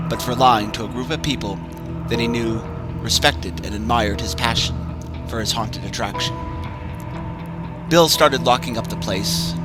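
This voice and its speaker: A man giving a measured, ominous dramatic reading of a horror story, with music behind him. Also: mid-range voice. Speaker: narrator.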